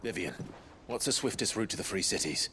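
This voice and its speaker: An actor playing a brooding, protective warrior and leader in a video game, terse and serious. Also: male voice, fairly low pitch, animated, fairly quick, background noise, close to an everyday voice. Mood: resolute. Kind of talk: dramatic video game acting. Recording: loud music behind.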